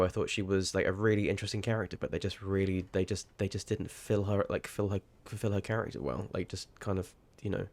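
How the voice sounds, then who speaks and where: A man chatting casually while streaming a game, rambling and sweary, with sudden startled outbursts. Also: low-pitched. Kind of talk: casual livestream chat.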